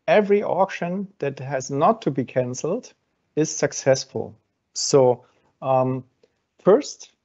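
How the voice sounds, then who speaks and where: A man's voice: medium pitch, warm tone. A man hosting a webinar, answering audience questions step by step with many fillers over a video call. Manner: explains step by step with frequent fillers. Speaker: webinar host from an exchange. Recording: dull lo-fi video call audio.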